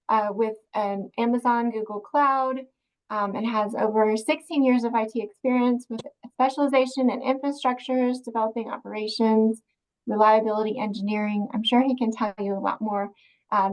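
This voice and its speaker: A woman with a fairly high voice hosts a video call meeting. She introduces the guest haltingly and often pauses. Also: steady tone, poor audio. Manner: halting, many ums and uhs. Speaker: host of a church career ministry. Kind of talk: introducing a speaker.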